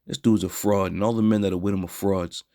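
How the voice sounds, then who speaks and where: A hoarse man teaching scripture in a blunt, scornful way, with a frequent "all right?". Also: low-pitched, steady tone, fast, warm tone, dry recording, clean studio sound. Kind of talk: street preaching scripture lesson.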